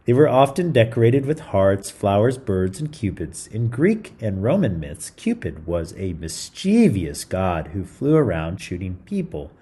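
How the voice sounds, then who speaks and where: A man's voice: fairly low pitch, sing-song, a little slow, few pauses, mellow, breathy edge. A man reading a story aloud to children in a theatrical, playful style, with chatty asides. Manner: theatrical, playful, interjecting comments to young listeners.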